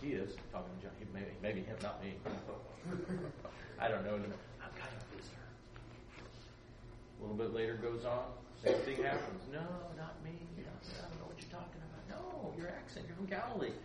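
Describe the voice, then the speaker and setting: A man preaching a lively storytelling sermon, acting out voices and laughing at his own asides. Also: medium pitch, moderate pace. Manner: acts out voices, laughs often, chatty asides. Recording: roomy echo, distant mic, background noise.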